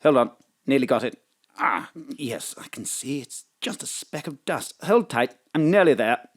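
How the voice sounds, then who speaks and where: An actor playing a suave, courteous gentleman lover in a parody of old romantic audio drama. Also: mid-range voice, expressive, normal speed, natural voice. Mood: attentive, apologetic.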